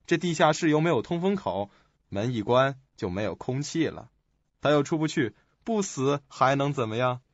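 A man's voice: medium pitch, expressive, moderate pace, no room sound, muffled recording, natural voice. A man playing a scheming, calculating doctor with a sly manner in a suspense radio play. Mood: smug, scheming. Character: scheming, smug, suspicious male doctor. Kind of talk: audio suspense drama acting. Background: music.

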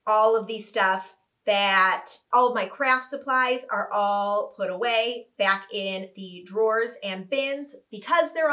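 A woman's voice: mid-range voice, fairly bright tone. A woman in her thirties, a home organizing vlogger, walking viewers through a tutorial in an upbeat, instructive, step-by-step way. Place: living room with couch, indoors.

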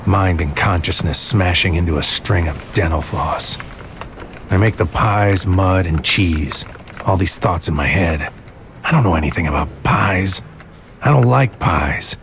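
Low-voiced brooding haunted psychic warrior. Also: male voice, a little slow, noisy background. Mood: disoriented, obsessive. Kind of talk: poetic first-person inner monologue in audio drama. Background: music.

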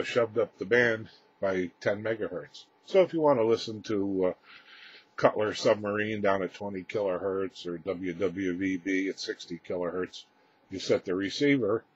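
A man giving a casual, unscripted equipment demonstration as presenter, explaining in a halting, matter-of-fact way, recorded indoors on a camera microphone. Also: lowish voice. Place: indoors, small room with radio gear. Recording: dull lo-fi camera audio, clear background noise.

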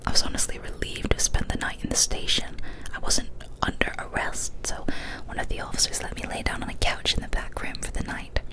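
A young woman narrates a scary story in a hushed ASMR whisper. Her delivery is breathy and unvoiced throughout, with slow, quiet phrasing. She speaks very close to a studio microphone in a small indoor room. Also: poor audio. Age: young adult. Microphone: large studio mic with foam cover, very close.

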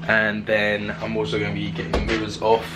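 A man's voice: lowish voice, completely flat delivery, fairly quick, music in the background. A young man with a British accent chatting casually as a car vlogger on a handheld camera. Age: young adult. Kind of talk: casual vlog chat about working on a car. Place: garage and driveway. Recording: noisy handheld camera audio.

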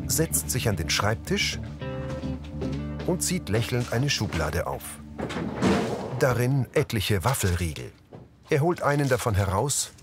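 Guy doing tv audio description, lowish voice, lively intonation, music going. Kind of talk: scripted audio description narration of a TV series.

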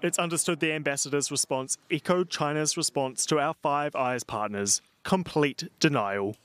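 A man with a New Zealand accent reading a scripted, measured news voice-over as reporter. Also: a little high, fairly bright tone, slight background noise. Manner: measured, clipped voice-over delivery.

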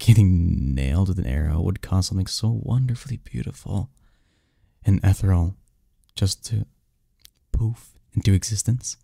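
A man acting a snarky, cynical young research assistant who gets flustered, in an intimate audio roleplay with a husky, halting delivery. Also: deep voice, mellow, no room sound, clean studio sound, exaggerated voice. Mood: cynical, teasing.

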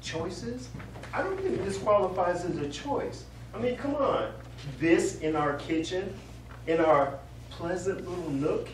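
A man's voice: sits high, animated, measured pace. A man on stage playing a sarcastic, grumbling husband with a dry, impatient manner. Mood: exasperated. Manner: dry, exasperated. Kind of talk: live stage play acting. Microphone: far camera. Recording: echoey stage, distant mic, noisy.

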